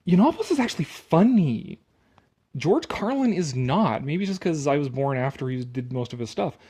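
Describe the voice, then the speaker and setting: A man's voice: fairly high pitch, big pitch swings, moderate pace, mellow, breathy edge. A man reading an article aloud with casual, sarcastic commentary, blunt and dismissive, prone to swearing. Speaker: online commentator.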